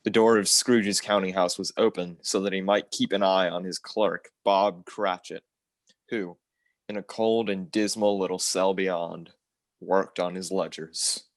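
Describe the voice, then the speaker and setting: An actor playing a grave 1930s radio presenter and storyteller, narrating in a measured, dramatic way. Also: male voice, deep voice, fairly even intonation, no room sound. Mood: grave, storytelling.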